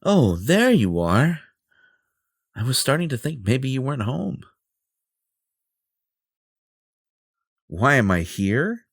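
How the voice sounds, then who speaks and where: A man's voice: mid-range voice, sing-song, measured pace, no echo. A man playing a vain, possessive superhero in an audio roleplay, theatrical and self-important, with a flirtatious streak. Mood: teasing, defensive.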